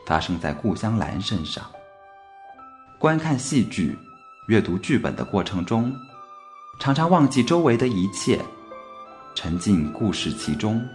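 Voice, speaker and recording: Male narrator for literary podcast, deep-leaning voice, lively intonation, measured and reflective, faint music behind. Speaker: podcast presenter. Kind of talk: scripted literary narration and recitation.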